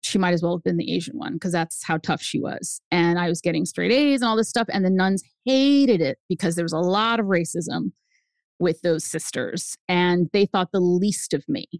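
A woman in her fifties whose first language is Spanish, telling her family story as a guest author, animated and jumping up in pitch for emphasis. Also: moderate pace, creaky, no echo, studio quality. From United States. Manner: animated, swings up high for emphasis. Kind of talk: personal storytelling in an interview.

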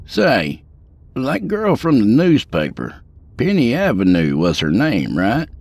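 A man's voice: mid-range voice, huge pitch swings, slow, creaky, a little mellow, no room sound, pitched above the performer's own voice, full character voice. A man voicing a folksy, drawling middle-aged male state trooper in a spoken story. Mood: easygoing. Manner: folksy drawl, laid-back.

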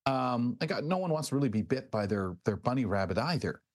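A woman co-hosting a talk show, chatting casually and thinking aloud in a halting way. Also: rumbling low, animated, darkish tone. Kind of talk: casual conversational chat.

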